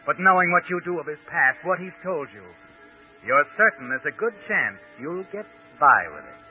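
An actor playing a knowing, insinuating narrator in an old radio suspense drama, speaking dramatically. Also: male voice, on the high side, lively intonation, normal speed, close to an everyday voice. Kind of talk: 1940s radio suspense narration, second person. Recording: tinny old radio recording with music.

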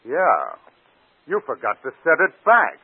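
An actor playing a shrewd, steady man exposing a killer, pointed and knowing, in 1940s radio drama style. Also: a little high, a little slow, husky, heavily acted. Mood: quietly triumphant. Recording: narrow old radio broadcast recording.

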